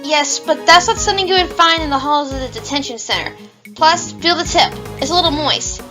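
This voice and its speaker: A woman's voice: high voice, a little fast, music in the background, natural voice. A woman playing a perky, supportive young rabbit police officer in an amateur audio drama. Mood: eager.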